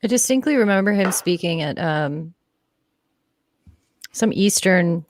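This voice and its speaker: A woman's voice: on the low side, expressive, unhurried, slight background noise. A woman chatting casually as a live-stream commentator, blunt and sardonic, swearing freely.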